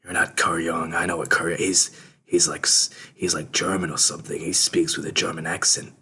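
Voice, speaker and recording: A man's voice: deep voice, level tone, moderate pace, pushed and bright, slight background noise. A man playing a hot-tempered, threatening self-styled rational thinker in a comedy sketch, given to theatrical outbursts. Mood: angry, disbelieving.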